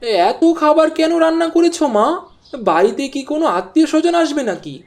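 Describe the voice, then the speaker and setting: A woman's voice: sits high, very animated, brisk, darkish tone. A woman voicing an anxious, dutiful young village son in a cartoon, hesitant and muttering to himself. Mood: puzzled. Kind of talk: cartoon dialogue acting. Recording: clear background noise.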